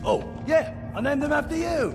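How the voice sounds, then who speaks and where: Chatty cowardly orc, gravelly and swoopy, rambles in a big echoey hall, game cutscene. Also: male voice, very high-pitched, lively intonation, normal speed, over music, full character voice. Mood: breezy. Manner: rambling, theatrical, comic asides. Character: chatty scatterbrained orc ex-overlord, cheerfully cowardly braggart. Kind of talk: video game cutscene acting.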